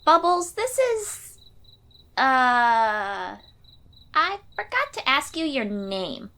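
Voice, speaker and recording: A woman's voice: high voice, very animated, drawn-out words, pushed and bright, heavily acted. A woman voicing a confident, chatty thirteen-year-old sea-dweller girl warrior in a fantasy audio roleplay, perky and informal. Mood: friendly, confident.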